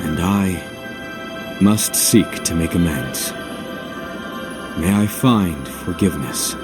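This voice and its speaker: Low, slow, remorseful leader. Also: male voice, over music. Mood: remorseful, solemn. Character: solemn, remorseful leader seeking atonement. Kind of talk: dramatic video game voice acting.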